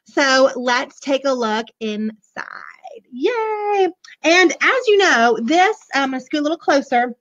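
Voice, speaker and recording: A hoarse woman in her forties, chatting bubbly and gushing to viewers as she shows a children's book on a webcam. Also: sits high, very animated, measured pace, a bit bright. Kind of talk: casual live book show-and-tell. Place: small room indoors, decorated backdrop. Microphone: webcam at desk. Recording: dull lo-fi webcam audio.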